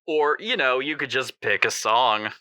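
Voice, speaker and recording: An actor playing a smug, cheerfully cruel radio co-host, breezy and taunting, in an audio drama. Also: male voice, mid-range voice, unhurried, bright, forceful tone, no room sound. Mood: breezy, mocking.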